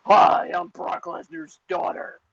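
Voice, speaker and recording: A man putting on a mocking falsetto as a wrestler's daughter who claims to have a deep voice. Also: slow pace, far from the mic, muffled recording, close to an everyday voice.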